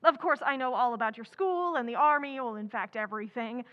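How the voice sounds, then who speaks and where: Woman doing a chatty gushing teen girl in an old radio play, high voice, kinda dull lo-fi recording. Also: fairly quick, close to an everyday voice. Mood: eager, chatty. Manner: gushing, theatrical exclamations. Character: Jo, exuberant, chatty, impulsive teenage girl. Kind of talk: old-time radio drama acting.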